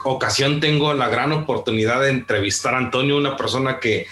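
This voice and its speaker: A man's voice: medium pitch, fairly quick. A man opens his interview show and welcomes his guest, using polite, formal address. His voice sounds roomy and echoey. A faint background noise sits under it. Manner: warmly grateful, formal address. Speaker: interviewer and host. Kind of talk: podcast interview introduction.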